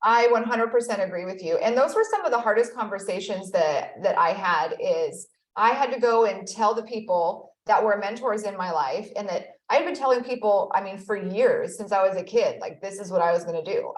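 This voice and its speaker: A woman in her thirties speaks as an interview guest, earnest and reflective, often slipping in "you know." Her voice sits at a middle pitch and carries a noticeable room echo. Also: fairly quick, hardly any pauses. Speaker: guest, accountability expert. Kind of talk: conversational interview.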